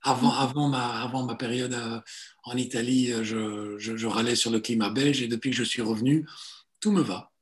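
Forties male therapist, halting and hesitant, restarts often, mid-range voice. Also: mid-range voice, fairly even intonation. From Italy. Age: 40s. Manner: hesitant, many fillers and restarts. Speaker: guest, psychotherapist and coach. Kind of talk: relaxed conversational interview.

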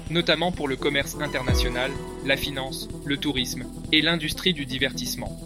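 A man reads a scripted fact segment in an even, smooth delivery. His voice is somewhat high for a man, and clear music runs underneath it. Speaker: segment presenter.

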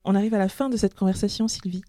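A woman hosting a podcast, leading a casual interview with quick, engaged interjections. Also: mid-range voice, steady tone, mellow, dry recording, studio quality. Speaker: podcast host.

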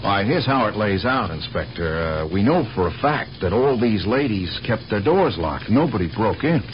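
An actor playing a methodical police detective in a radio drama, questioning patiently but insistently and deliberately. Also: male voice, lowish voice, very animated, over music. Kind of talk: 1970s radio drama acting. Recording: muffled, noisy old radio recording.

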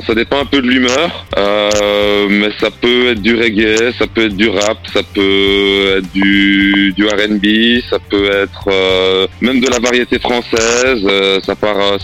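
A man in his thirties from Belgium talks as a podcast guest, with a low voice. He speaks casually and haltingly, restarting often and filling pauses. Faint music sits behind him. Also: fairly even intonation, a bit bright. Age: 30s. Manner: halting, many fillers and restarts. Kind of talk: casual interview conversation.

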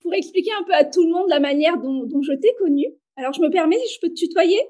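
A woman's voice: very high-pitched, quick pace, darkish tone. A woman hosting a podcast as an HR expert, friendly and enthusiastic, addressing the listener directly.

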